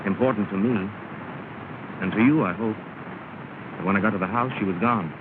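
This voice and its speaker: An actor playing an earnest young gentleman suitor in a 1930s film romance scene. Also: lowish voice, moderate pace, close to an everyday voice. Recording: tinny, noisy 1930 film soundtrack.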